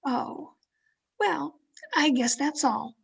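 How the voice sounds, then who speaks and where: Woman acting a high-voiced, intense radio suspense heroine. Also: very slow, vocal fry, exaggerated voice. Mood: hushed, conspiratorial. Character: thrill-seeking young woman narrating her murder tale. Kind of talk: 1940s radio suspense acting, scripted first-person narration. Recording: video-call radio play with music underneath. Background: dramatic music.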